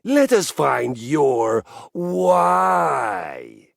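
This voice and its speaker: An actor playing a grandiose, boastful, violence-loving exercise machine in a video game, talking in a theatrical, pompous way that swings from low murmurs to booming shouts. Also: male voice, sing-song, extremely slow, creaky, dry recording, clean studio sound, exaggerated voice. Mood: rousing. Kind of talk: video game character acting.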